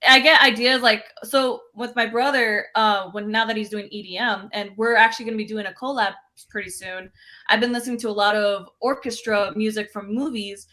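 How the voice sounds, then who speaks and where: A young woman, a singer-songwriter guest, chatting casually in an interview, bubbly and quick to laugh. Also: medium pitch, steady tone, sharp tone.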